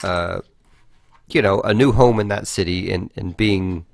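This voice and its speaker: A man co-hosting a podcast, casually discussing video games and haltingly thinking aloud, with some swearing. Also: sits low, creaky, no echo. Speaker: podcast host.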